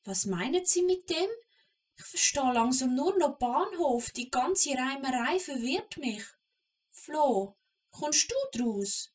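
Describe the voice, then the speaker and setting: A woman's voice: high voice, expressive, slow, recorded from a distance. A woman voicing a plucky, bossy, cheerful young kobold in a children's audio drama, emphatic and encouraging. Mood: puzzled.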